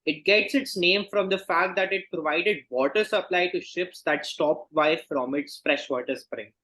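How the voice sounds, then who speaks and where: Male quizmaster, slightly high-pitched, formal and measured delivery of trivia questions. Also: bright, forceful tone, poor audio. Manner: formal, measured question reading with set confirming phrases. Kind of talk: reading quiz questions in an online quiz game.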